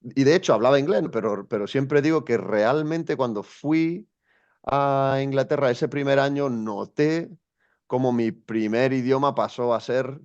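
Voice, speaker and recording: A man's voice: mid-range voice, unhurried, darkish tone, dull audio. A young man from Spain, a guest telling his story in an interview, thoughtful and hesitant with many restarts. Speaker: guest, education coach.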